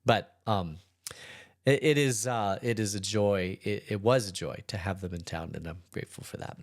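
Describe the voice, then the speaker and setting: A middle-aged pastor with a hoarse, congested voice, leading a relaxed, rambling Bible study. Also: male voice, lowish voice, moderate pace. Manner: relaxed, rambling, chuckles between thoughts. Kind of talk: casual daily Bible study talk.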